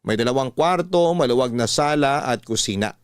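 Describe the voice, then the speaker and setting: A man's voice: medium pitch, expressive, moderate pace, smooth, dry recording, close to an everyday voice. A man voicing a young mother who tells her own story in a radio drama, earnest and confiding. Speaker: letter narrator. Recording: dry studio voice over music.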